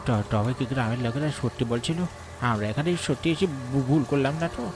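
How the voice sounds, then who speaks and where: A man's voice: mid-range voice, a little fast, voice pushed up, natural voice. A frightened young village man , acted by a man, stammers through his words. It is horror cartoon dialogue with strong music behind. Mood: terrified. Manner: stammering with fear. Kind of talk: animated horror story dialogue.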